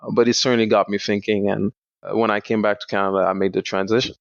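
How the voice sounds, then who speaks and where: A young man from Senegal, a startup founder, explaining his work in a measured way in a podcast interview. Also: lowish voice, flat delivery, gravelly, no echo. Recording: dull lo-fi dry sound.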